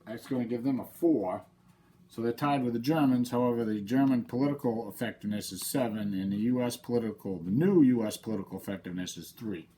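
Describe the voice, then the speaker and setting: A man's voice: medium pitch, fast talker, mellow, rough recording. A man with a head cold hosting his channel, talking casually through a board game playthrough and explaining the rules step by step. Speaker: channel host.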